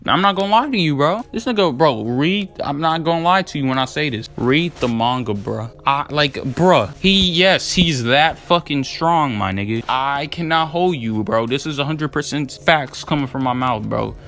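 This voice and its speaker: A man's voice: a little high, expressive, normal speed, hardly any pauses, fairly bright tone. A young man giving casual, unscripted commentary as a video creator, rambling in a slangy, profane, hyped and emphatic way. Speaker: video creator debating anime battle matchups.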